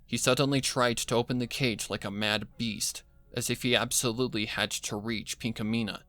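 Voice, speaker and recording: A man's voice: medium pitch, steady tone, normal speed, a bit bright, no room sound. A man reading a scripted horror story as narrator, with music playing behind him. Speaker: fan fiction narrator.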